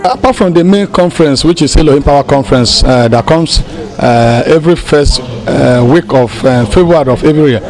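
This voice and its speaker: A middle-aged man with a Nigerian accent and a fairly high voice explains things as a pastor in an interview. He hesitates often as he goes, and faint music plays behind him. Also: darkish tone, low-quality recording. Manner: halting, frequent hesitations. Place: outdoors beside a building. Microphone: handheld interview microphone.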